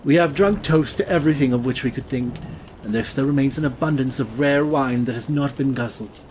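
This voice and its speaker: An actor playing a suave, languid gentleman swordsman in a dramatized audiobook, speaking in a courtly, formal way. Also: medium pitch, a little fast, a little hiss or hum, natural voice. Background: ambient sea sound bed.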